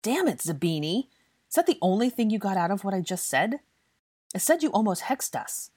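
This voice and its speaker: A woman's voice: medium pitch, sing-song, fairly quick, a little mellow, slightly rough, no room sound, studio quality, voice pushed up. A woman voicing a bitter, angry young man who scolds heatedly in an audiobook fantasy story. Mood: heated, defensive. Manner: heated, scolding, British swearing. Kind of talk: audiobook character dialogue.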